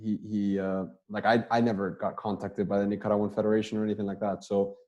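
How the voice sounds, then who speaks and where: A young man, a soccer player, answering interview questions over a video call in a relaxed, rambling way, often repeating words as he talks. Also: lowish voice, fast, muffled recording. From United States.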